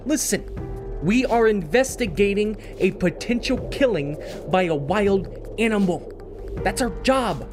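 A man's voice: sits high, animated, measured pace. A man playing a driven, hot-tempered police detective with a troubled past in an audio drama, terse and confrontational. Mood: suspicious, resolute. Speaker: voice actor. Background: music.

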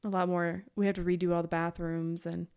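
A woman in her late twenties, a podcast guest, chatting in an animated way with quoted asides. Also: fairly low pitch, a little fast, smooth. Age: late 20s. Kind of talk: casual chat.

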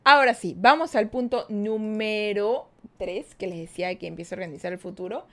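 A playful, chatty woman, hosting a casual live podcast. She talks easily and directly to her audience in a mid-pitched voice, reading their comments aloud as she goes. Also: fairly quick. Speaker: podcast host.

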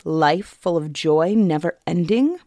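A woman voicing a young male fairy king who is cold, mocking and menacing, speaking slowly and softly. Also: fairly low pitch, expressive, dry recording, clean studio sound. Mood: taunting. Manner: slow, soft, taunting.

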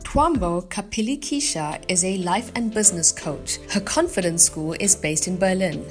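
A woman's voice: on the low side, normal speed, over music. A woman hosting a podcast, reading a scripted introduction and then asking chatty follow-up questions. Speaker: podcast host. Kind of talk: podcast interview.

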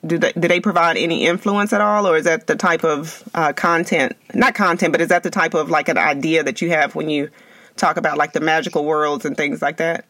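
A man's voice: a little high, fairly even intonation, a little fast, pushed and bright, no echo. A man hosting a podcast interview, upbeat and chatty, often restarting his questions. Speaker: podcast host. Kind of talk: casual interview.

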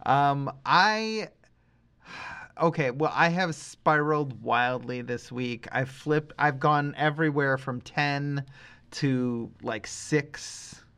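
A man with a gravelly, creaky edge to his mid-range voice co-hosts a podcast. He chats casually, hesitating and correcting himself as he weighs his opinions. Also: medium pitch, slow, a bit bright. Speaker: podcast co-host. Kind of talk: casual review chat.

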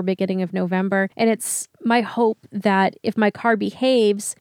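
A woman's voice: medium pitch, a little mellow, no room sound, clean studio sound. A young woman co-hosting a podcast, chatting in a playful, bubbly way. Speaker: podcast co-host. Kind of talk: casual chat.

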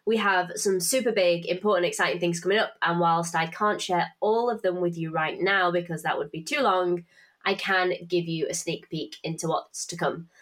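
A woman's voice: on the low side, steady tone, normal speed, a bit bright. Chatty woman with a stuffy nose. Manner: chatty, swearing, self-mocking. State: congested from hay fever. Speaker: podcast host and finance tutor. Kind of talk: casual podcast chat with promotion.

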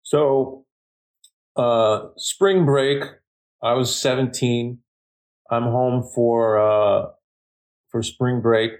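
Slow, halting man from Japan. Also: mid-range voice, steady tone, darkish tone, low-quality recording. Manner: slow, halting, many fillers and restarts. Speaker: guest telling a ghost story. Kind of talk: casual storytelling.

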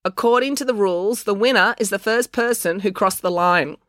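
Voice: medium pitch, lively intonation, normal speed, a bit bright, no echo, studio quality, voice pushed up, lightly acted. A narrator voicing a pedantic, officious boy who declares things precisely in a storybook. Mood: pedantic, official.

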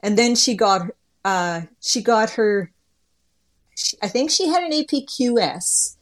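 A woman's voice: on the high side, lively intonation, measured pace. A middle-aged or older woman from northern Ontario with a Canadian accent, chatting casually as an interview guest in a folksy, plain-spoken way.

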